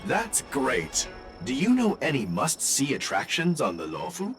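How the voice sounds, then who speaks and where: A man's voice: medium pitch, a little slow. A man voicing a polite, businesslike traveling merchant in a game. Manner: courteous, businesslike, expressive. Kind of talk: game voice acting. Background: game soundtrack music.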